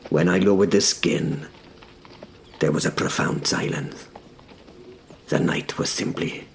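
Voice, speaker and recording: A man narrating a first-person drama in a hushed, deliberate way, like scripted storytelling. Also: low-pitched, vocal fry, a little hiss or hum.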